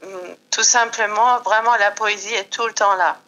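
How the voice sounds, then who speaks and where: A middle-aged woman from Iran, speaking French with a Persian accent, talks reflectively in an interview with many pauses and fillers. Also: mid-range voice, fairly even intonation, sharp tone, muffled recording. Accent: foreign accent in French. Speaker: guest. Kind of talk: slow reflective interview talk.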